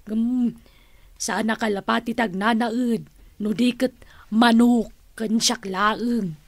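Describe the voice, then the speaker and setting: An actress playing an exasperated comic auntie in an Ilocano radio drama, hesitant and full of exclamations. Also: female voice, a little high, steady tone, takes it slowly, creaky, dry recording, full character voice.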